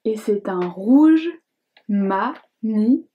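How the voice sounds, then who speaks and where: A woman's voice: mid-range voice, animated, slow pace, narrow, tinny audio. A young adult woman chatting casually to camera as a beauty vlogger, hesitant and chatty, with many fillers and restarts. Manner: reads questions aloud in a brighter voice.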